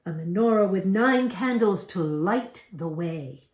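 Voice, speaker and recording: Slow older woman storyteller, roomy sound. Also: medium pitch, animated, darkish tone. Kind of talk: expressive story reading. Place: indoor room at home, by lit candles. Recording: roomy echo.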